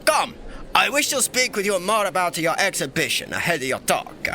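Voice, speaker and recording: A man's voice: sits high, big pitch swings, moderate pace, bright, forceful tone, slight background noise, heavily acted. A man playing a young, mischievous and irreverent emperor in a fantasy audio drama, with a theatrical, teasing, breezy delivery. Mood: playful, boisterous. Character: young playful irreverent male emperor, secretly informal and mischievous.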